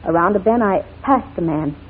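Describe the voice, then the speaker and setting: A woman's voice: lowish voice, expressive, moderate pace, slight background noise, lightly acted. An actress playing a guarded, anguished titled lady on the witness stand in a 1940s radio drama, genteel and hesitant. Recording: tinny, muffled 1940s radio broadcast.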